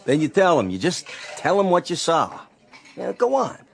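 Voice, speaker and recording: Tough, animated bartender. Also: male voice, on the high side, moderate pace, creaky, exaggerated voice. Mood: firm. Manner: blunt, slangy, commanding. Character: tough male bartender, stern but protective. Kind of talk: radio drama acting.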